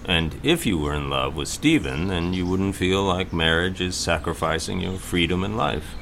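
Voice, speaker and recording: Guy playing a worried gentle dad in a radio play, gravelly low voice, kinda halting and thoughtful. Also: animated, a little hiss or hum, exaggerated voice. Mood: gently probing. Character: gentle, concerned middle-aged father of a grown daughter. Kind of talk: audio drama acting.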